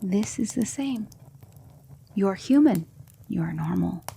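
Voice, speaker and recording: A woman playing a gentle, patient demonic magic mentor in an ASMR roleplay, speaking in measured, hushed instruction that slips into whispers. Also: medium pitch, lively intonation, gravelly, a little hiss or hum, full character voice. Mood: hushed, coaxing. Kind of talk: ASMR roleplay, soft speech slipping into whispers.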